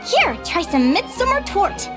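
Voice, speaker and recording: A woman's voice: extremely high pitch, very animated, unhurried, exaggerated voice. A young woman playing an enthusiastic, curious young guild advisor in an anime-style video game, bubbly and eager, with music behind. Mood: apologetic, flustered.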